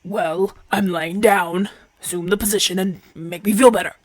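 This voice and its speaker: A man playing a proud, haughty Roman gladiator in an ASMR audio roleplay, imperious and formal, often dropping to a breathy murmur. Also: high voice, fairly even intonation, moderate pace, dry recording. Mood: fired up, demanding. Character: proud, haughty Roman gladiator champion, gruff but softening.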